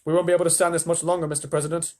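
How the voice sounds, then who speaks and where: A man voicing panicking astronauts shouting desperately for help over the radio. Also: fairly high pitch, level tone, very fast, voice pushed up, natural voice.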